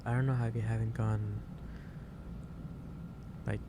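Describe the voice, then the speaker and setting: A man playing a gentle, caring office boss in a soft-spoken ASMR roleplay, talking in a halting, reassuring way. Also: lowish voice, flat delivery. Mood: gently concerned. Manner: halting, reassuring, unhurried delivery. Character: gentle, caring male office boss lecturing an overworked employee. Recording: clearly noisy background ambience.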